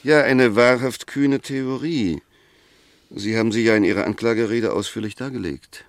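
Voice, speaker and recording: An actor playing a sceptical, gently ironic defence lawyer in a 1960s German radio play, arguing in a measured, precise and pointed way. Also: male voice, medium pitch, no echo, natural voice. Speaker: defence counsel.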